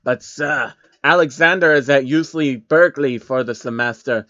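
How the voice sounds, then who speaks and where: A man's voice: medium pitch, normal speed, bright, forceful tone, no echo, highs cut off, lightly acted. A man voicing a deferential, anxious billionaire underling in a storybook reading, polite and servile.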